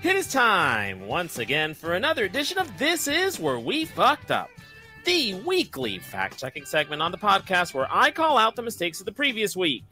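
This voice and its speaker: A man's voice: high voice, wildly swooping pitch, moderate pace, sharp tone, faint music behind. A young man hosting a podcast fact-check segment, delivering it in an emphatic, sassy way. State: recovering from lost voice. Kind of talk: scripted segment read, lively.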